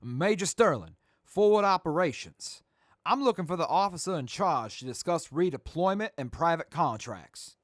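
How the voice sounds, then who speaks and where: Man as a higher-voiced, animated army major. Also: moderate pace, natural voice. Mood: formal. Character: formal army officer, a major seeking redeployment. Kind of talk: scripted post-apocalyptic radio drama.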